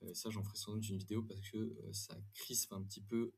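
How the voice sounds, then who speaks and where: A man presenting an informal software demo, speaking in a halting way with frequent hesitations and self-corrections. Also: fairly low pitch, little pitch movement, creaky, husky. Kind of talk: informal screen-share software demo and review.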